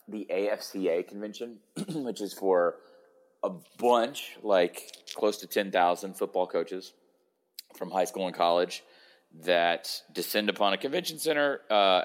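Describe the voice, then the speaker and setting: Deep-voiced, slightly hoarse man chatting casually. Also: normal speed, a bit bright. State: hoarse, hungover. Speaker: sports podcast host. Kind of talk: casual football podcast chat.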